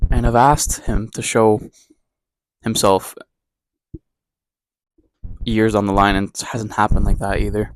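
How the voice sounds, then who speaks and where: A young man from Ecuador with a somewhat low voice talks straight to the camera in a casual daily vlog. He rambles, circles back and pokes fun at himself, keeping the tone candid and unpolished. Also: flat delivery, measured pace, pauses often, poor audio. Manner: rambling, self-deprecating, candid. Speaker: vlogger. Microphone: camera mic, talking to camera.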